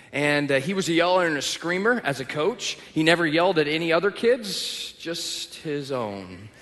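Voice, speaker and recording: A man's voice: a little high, a bit bright. A young male pastor from Texas with a Texan accent, preaching a sermon and telling personal stories with self-deprecating humor. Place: church auditorium. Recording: long reverb of a large hall.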